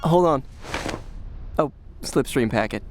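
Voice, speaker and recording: A man's voice: mid-range voice, animated, takes it slowly, over music. An actor playing a mild, sheepish young man in naturalistic radio drama, talking casually. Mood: easygoing. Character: mild, embarrassed, apologetic young man, newly a doctor. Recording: loud background noise.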